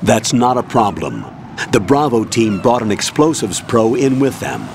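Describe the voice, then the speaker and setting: A curt military colonel. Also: male voice, medium pitch, big pitch swings, unhurried, background noise. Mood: commanding. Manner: curt, commanding, briefing orders. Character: stern male military colonel, curt commander. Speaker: commanding officer on radio. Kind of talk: video game cutscene acting.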